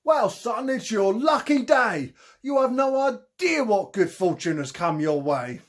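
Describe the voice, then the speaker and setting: A man's voice: sits high, expressive, unhurried, pitched above the performer's own voice. A man voicing a shabby, boastful old male conman with a thick Cockney accent and grandiose salesman patter.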